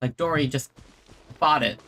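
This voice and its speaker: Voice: medium pitch, normal speed, sharp tone. A streamer giving casual gaming commentary, wry and hesitant, often stammering over words. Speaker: streamer playing a game. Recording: faint game music behind.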